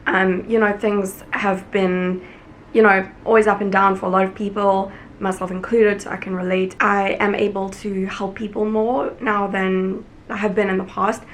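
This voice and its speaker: A woman's voice: medium pitch, level tone, moderate pace, slight background noise. A young woman from Africa, talking to camera in a casual, earnest vlog. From South Africa. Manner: earnest, warm appeal to viewers, chatty. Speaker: vlogger.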